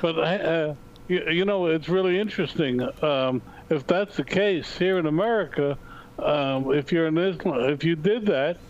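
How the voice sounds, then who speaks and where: A man chatting on a talk show in a hesitant, halting way, often pausing to search for words. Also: a little high. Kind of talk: casual talk show chat. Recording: dull lo-fi audio.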